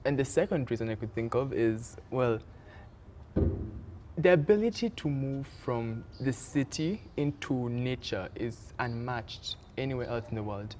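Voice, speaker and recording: A man's voice: mid-range voice, animated, unhurried, a little mellow, slight background noise. Young man, from Kenya, singing contestant, upbeat and chatty, outdoor garden, faint ambience. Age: young adult. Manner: upbeat, chatty, laughs easily. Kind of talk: casual interview answers.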